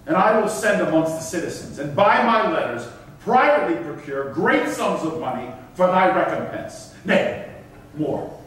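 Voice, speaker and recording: A man's voice: high-pitched, big pitch swings, normal speed, fairly bright tone, distant mic. A man acting a dignified governor, speaking formal Elizabethan stage verse in an emphatic, declamatory way. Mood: earnest. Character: dignified governor of Malta, negotiating earnestly. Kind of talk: theatrical stage reading of Elizabethan drama. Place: concert hall stage, far camera. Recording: echoey hall from afar, faint noise.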